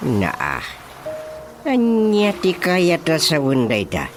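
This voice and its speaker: A man with a Filipino accent playing a boastful, excitable village chairman in a radio comedy drama, blustering and exclaiming. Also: a little high, slow, heavily acted. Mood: flustered. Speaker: actor. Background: music.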